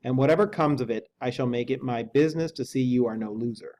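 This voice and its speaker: A man voicing a measured middle-aged lawyer who speaks slowly and formally. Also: medium pitch, a little mellow, a little hiss or hum, natural voice. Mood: reasoning. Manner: slow, deliberate, formal.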